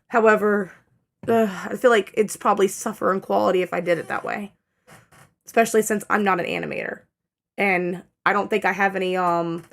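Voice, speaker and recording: Young woman, tired, dry-throated, a bit low and gravelly, rambles with lots of ums and restarts in a casual update. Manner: rambling with many fillers and self-corrections. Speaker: channel owner giving an update.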